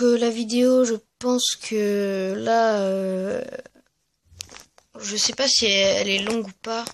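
A gaming video creator giving casual, hesitant and rambling commentary over gameplay, speaking in a medium-high voice. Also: a little hiss or hum. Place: at home, voice-over on mobile gameplay recording.

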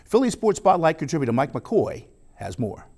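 A man's voice: a little high, moderate pace. A middle-aged man hosting a sports show from a TV studio, mixing joking asides into his anchoring. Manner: lively, joking anchor delivery.

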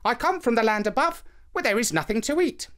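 A man's voice: very high-pitched, lively intonation, fairly quick, fairly bright tone, pitched above the performer's own voice. A man voicing a polite, earnest young village boy in a storybook folktale. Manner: well-mannered, earnest.

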